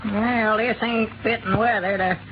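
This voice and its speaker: A fussy old Arkansas backwoodsman who tires easily, talking in a high, twangy voice. A dull, muffled 1930s radio recording carries him, with heavy hiss behind. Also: steady tone, measured pace. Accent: rural Arkansas. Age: older. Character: fretful old rural man, easily tired. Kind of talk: 1930s radio comedy acting.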